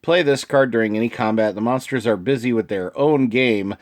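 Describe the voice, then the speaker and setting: A man with a sniffly, allergy-affected voice hosts casually, reading card text aloud with informal asides and some throat-clearing. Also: mid-range voice, moderate pace. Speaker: video host.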